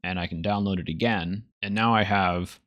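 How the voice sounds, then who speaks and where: A man hosting a casual software tutorial, thinking aloud as he demonstrates. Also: deep voice, fairly even intonation, normal speed, no room sound, highs cut off. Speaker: tutorial host.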